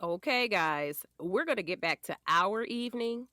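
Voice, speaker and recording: A woman's voice: mid-range voice, animated, moderate pace, pushed and bright, no room sound, studio quality. A woman playing a doting but firm wife and mother in her forties, teasing and sassy, in a naturalistic audio drama. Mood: affectionate.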